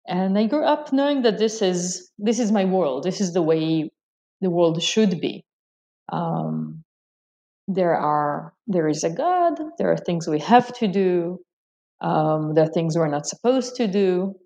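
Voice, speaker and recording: A woman's voice: lowish voice, big pitch swings, measured pace, dark tone. A woman with an Israeli accent whose first language is Hebrew, a scholar answering interview questions thoughtfully, with many ums and restarts. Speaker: scholar guest.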